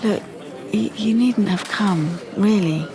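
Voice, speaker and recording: Young woman, wary, indignant, clipped and stammering, mid-pitched, audio play, acted, crowd noise behind. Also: takes it slowly, lightly acted. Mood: wary, guarded. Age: young adult. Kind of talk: audio drama monologue and dialogue.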